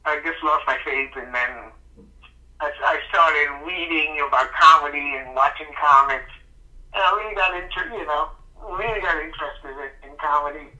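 Male comedian guest, middle-aged, raised in the Bahamas; rambling, bright-toned, muffled call audio. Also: on the high side, moderate pace. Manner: rambling, many restarts and fillers. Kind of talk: casual interview.

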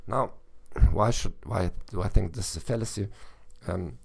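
A hoarse man hosting a solo educational podcast as a language teacher, talking in a halting way with many fillers and restarts. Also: deep voice, darkish tone.

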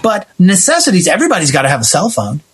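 American male, high voice with a raspy edge, emphatic conversational delivery. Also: wildly swooping pitch, fast talker, a bit bright. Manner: emphatic, acting out imagined quotes. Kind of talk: opinionated conversation about society.

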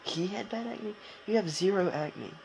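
A man's voice: high voice, moderate pace, vocal fry, slight background noise, poor audio. A young man co-hosting a casual podcast, bantering and interjecting in informal, jokey slang. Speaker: podcast co-host.

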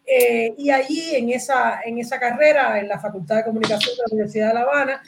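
A middle-aged woman with a Cuban accent, explaining things in a measured way as a guest in an interview. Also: a little high, steady tone, creaky, noisy background. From Cuba. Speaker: podcast guest, archivist and academic.

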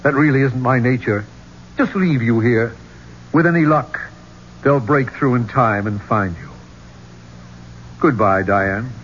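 An actor playing a smooth-talking, greedy archeologist turned thief, with persuasive, theatrical delivery in a 1970s radio drama. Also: male voice, mid-range voice, a little slow, long pauses, close to an everyday voice. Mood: regretful, determined. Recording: muffled, noisy old radio recording.

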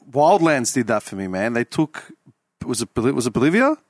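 A man's voice: mid-range voice, sing-song, normal speed, no room sound, clean studio sound. A man hosting a podcast, asking blunt, curious questions in casual chat and swearing freely. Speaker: podcast host.

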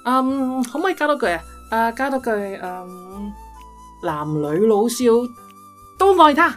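A woman's voice: on the high side, very animated, unhurried, faint music behind. A woman with a Hong Kong accent playing a coy, breezily domineering spendthrift wife in a comedic radio drama.

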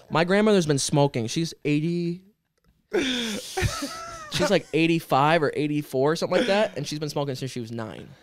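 A man's voice: fairly high pitch, animated, moderate pace, pauses often. A young man chatting casually as a podcast co-host and rapper. He talks loosely, filling his speech with "like" and plenty of swearing.

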